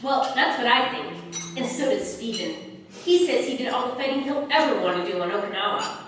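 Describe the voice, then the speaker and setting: Girl-next-door daughter voice by a woman high n eager, affectionate, old radio drama, far off in echoey room. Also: lively intonation, normal speed, a bit bright. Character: eager, affectionate grown daughter, sweet on her boyfriend. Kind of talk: 1940s radio drama acting on stage. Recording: very echoey stage, distant, faint noise.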